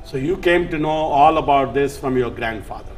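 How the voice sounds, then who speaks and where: A man hosting a TV interview, speaking earnestly and deliberately, with pauses and fillers. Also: mid-range voice, soft background music, poor audio. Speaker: host.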